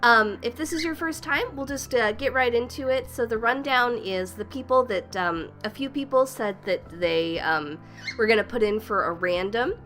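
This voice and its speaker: A woman's voice: fairly high pitch, normal speed, fairly bright tone, faint music behind. A woman hosting a casual livestream, slightly stuffy from a cold, chatty and upbeat, greeting viewers by name and laughing often. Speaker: livestream host.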